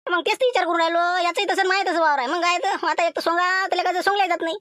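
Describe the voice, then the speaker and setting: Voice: extremely high pitch, fairly even intonation, racing, bright, forceful tone, dry recording, studio quality, voice pushed up. A woman voicing worried village farmers in a cartoon comedy, speaking colloquial, complaining rural Vidarbha Marathi. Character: worried Marathi village farmer men chatting about crops.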